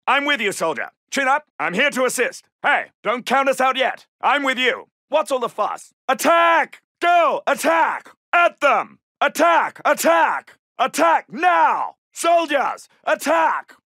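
An actor playing a brisk, gallant British Second World War soldier in a video game, with a clipped, commanding and formal manner. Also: male voice, very high voice, gravelly, sharp tone, dry recording, studio quality, heavily acted. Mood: encouraging, then fierce rallying. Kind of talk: military game battle barks.

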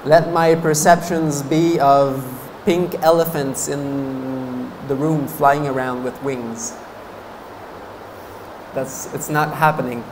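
A man's voice: mid-range voice, steady tone, slow pace, background noise. A man in his thirties, a Buddhist monk whose first language is French, giving a chatty dharma talk at a meditation course, laughing often between fillers. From French-speaking Canada. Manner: gestures with his hands while talking. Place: meditation hall, seated before a banner. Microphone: stand mic in front of him.

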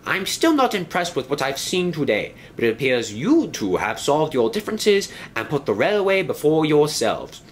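A man's voice: fairly high pitch, wildly swooping pitch, normal speed, few pauses, slight background noise, pitched above the performer's own voice. A man voicing a pompous, stern male railway inspector who scolds in a haughty tone.

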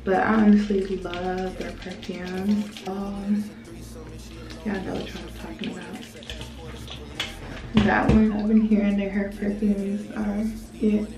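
A woman in her late twenties chats to her viewers as she styles her hair, drawling and a bit gravelly. She is slightly sick. Her voice rings in a roomy home space, a little away from the camera mic, with music under it. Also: mid-range voice, little pitch movement, takes it slowly, pauses often. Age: 20s. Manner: chatty, drawling, talks to viewers as y'all while doing her hair. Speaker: vlogger. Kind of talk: casual vlog chat to camera. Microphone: small camera on tripod. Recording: roomy echo, mic at a distance, music behind.

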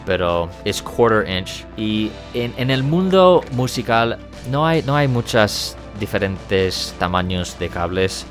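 A young man with a low, deep voice, an English speaker working carefully through his Spanish with plenty of pauses and fillers. He talks slowly and patiently, like a home-studio tutorial host at his desk mic, with faint music underneath. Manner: unhurried, hesitant non-native Spanish with fillers. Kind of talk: casual tutorial to camera. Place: small home studio, indoors. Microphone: desk microphone in front.

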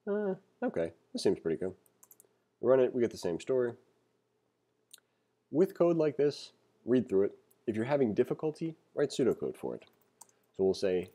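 A man giving a casual read-along programming tutorial, reading aloud and thinking out loud with informal explanatory asides. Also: on the low side. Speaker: programming instructor. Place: screen-recorded voice-over.

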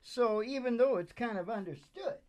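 An older man hosting a live show, chatting casually and haltingly between songs in a folksy, joking way. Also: very high-pitched, no echo. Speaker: host and musician of a live radio show. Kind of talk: casual rambling stage chat between songs. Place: small indoor stage with curtain backdrop. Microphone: stage microphone on a stand.

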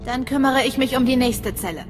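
An actress playing a cool, professional imperial secret agent in a sci-fi game, speaking in a controlled, formal way. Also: female voice, sits high, fairly quick, music in the background, natural voice. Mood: cool, businesslike. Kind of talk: dramatic sci-fi game dialogue.

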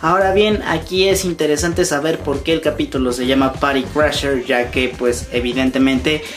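A man's voice: a little high, fairly even intonation, moderate pace. A man in his twenties hosting a fan news vlog, speaking emphatically and directly to his viewers from a room at home. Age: 20s. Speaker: YouTube host. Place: small room at home, indoors. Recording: music behind the voice. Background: background music.

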